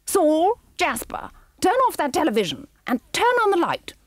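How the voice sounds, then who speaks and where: A woman reading a storybook aloud and voicing a cruel, fur-obsessed rich woman who gives orders in a shrill, imperious voice. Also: big pitch swings, moderate pace, a little mellow, slightly rough, dry recording, studio quality. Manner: imperious, shrieking, gleefully cruel.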